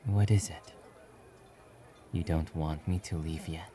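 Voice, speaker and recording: A man voicing a stoic, quietly kind young demon-slaying hero in a soft, breathy romantic ASMR roleplay. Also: rumbling low, steady tone, takes it slowly, a little hiss or hum. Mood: quietly reassuring. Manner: aloof, terse, gently reassuring.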